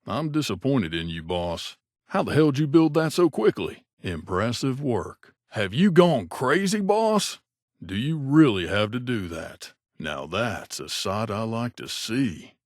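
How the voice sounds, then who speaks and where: An actor playing a wry, gruff mercenary companion in a video game, speaking in a conversational, grumbling way. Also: male voice, mid-range voice, no room sound, studio quality. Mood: exasperated, then dry reproach. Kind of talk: game character voice lines.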